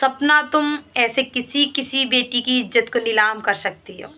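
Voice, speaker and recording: A woman's voice: a little high, a little slow, pushed and bright. A woman vlogger giving opinion commentary straight to her viewers, in an emphatic, reproachful way that leans on repeated points.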